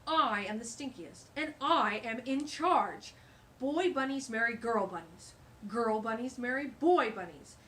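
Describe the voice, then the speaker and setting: Woman acting a loud, bossy, menacing stink bug. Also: on the high side, expressive, unhurried. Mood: bossy, angry. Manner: shouting, emphatic, domineering.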